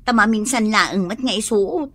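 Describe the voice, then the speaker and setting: A woman's voice: mid-range voice, moderate pace, sharp tone, no echo. An actress playing a nosy, needling young woman in an Ilocano radio drama. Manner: needling, pointed.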